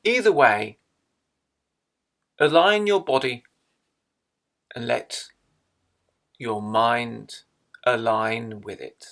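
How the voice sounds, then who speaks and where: A man leading a guided meditation in a measured way, leaving long pauses between phrases. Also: mid-range voice, fairly bright tone.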